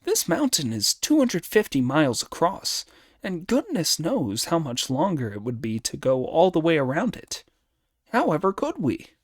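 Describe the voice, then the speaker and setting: Man voicing a brash, high-pitched monkey hero. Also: wildly swooping pitch, normal speed, dry recording, clean studio sound. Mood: brisk, reassuring. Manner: exclamatory, cocky. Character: brash, boastful, excitable male monkey hero. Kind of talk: storybook character dialogue.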